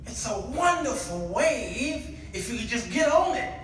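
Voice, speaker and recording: A man preaching an animated, colloquial sermon, repeating his punchlines and acting out bits of dialogue to draw responses from the congregation. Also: very high-pitched, unhurried. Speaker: guest preacher. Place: church sanctuary before a responding congregation. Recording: very echoey hall, distant microphone.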